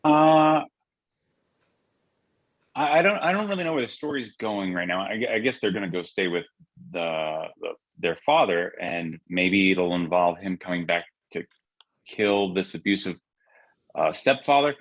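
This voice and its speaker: A man on a panel giving an informal, blunt critique in a halting way. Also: sits low, lively intonation, a bit bright, no room sound, rough recording. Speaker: script panellist.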